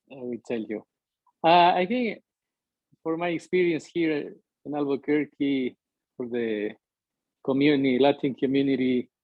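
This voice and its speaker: Slow hesitant man with Ecuadorian accent. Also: medium pitch, no room sound, lo-fi sound. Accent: Ecuadorian Spanish. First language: Spanish. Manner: hesitant, searching for words, many fillers. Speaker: interview guest, musician. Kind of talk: conversational interview, halting English.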